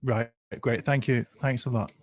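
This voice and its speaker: A man's voice: mid-range voice, steady tone, normal speed, dry recording. Polite man, close dry sound. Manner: polite, tentative. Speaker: participant asking questions. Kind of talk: spoken question on online call.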